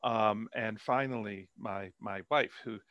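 A man's voice: lowish voice, takes it slowly, no echo, dull audio. A man, an author, chatting casually in an interview over a video call, rambling with many fillers and restarts.

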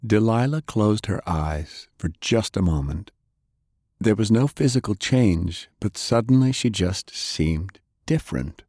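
A man's voice: fairly low pitch, expressive, measured pace, darkish tone, dry recording, studio quality. A man reading an adventure novel aloud as scripted audiobook narration.

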